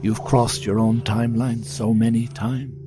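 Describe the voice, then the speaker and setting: Slow, menacing villainous piper played by a man. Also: mid-range voice, level tone, music in the background, close to an everyday voice. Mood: gloating, hungry. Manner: slow, menacing, mock-courteous, savouring words. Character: sinister ancient piper, gloating, taunting child-stealing villain. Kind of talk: audio drama acting.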